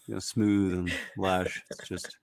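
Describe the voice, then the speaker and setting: A man with a raspy, gritty voice, talking casually as a musician guest in an interview, rambling with fillers. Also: low-pitched, measured pace, dry recording. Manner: relaxed, rambling with fillers and restarts.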